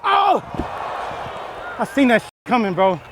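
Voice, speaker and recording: A mic'd-up male football player calling out excitable, slangy sideline chatter in short repeated phrases. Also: very high-pitched, a little slow. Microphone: body-worn mic during play. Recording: noisy outdoor field with crowd.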